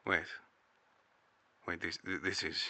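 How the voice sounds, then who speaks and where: Casting: actor as serious male agency interrogator, deep and forceful, clipped official delivery, audio drama. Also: flat delivery, slow, heavily acted. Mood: stunned.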